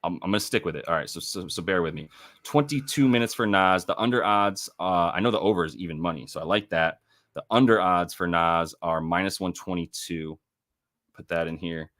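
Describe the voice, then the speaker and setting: A man's voice: low voice, a little fast, fairly bright tone. A young man hosting a casual sports betting livestream, thinking aloud and correcting himself as he works through numbers. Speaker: sports betting show host.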